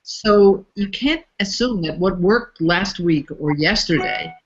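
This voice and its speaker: A woman's voice: medium pitch, expressive, slow, a little hiss or hum. Interviewee: thoughtful woman, mid-range voice, slightly muffled recording. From United States. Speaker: interviewee, facilitator. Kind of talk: reflective interview talk.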